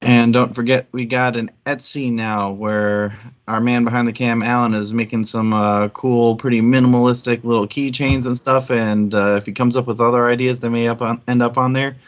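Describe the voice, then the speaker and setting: A man's voice: medium pitch, level tone, moderate pace, dull audio. A man co-hosting a book discussion stream, talking informally and thinking aloud as he goes. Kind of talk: casual discussion with some read-out intro.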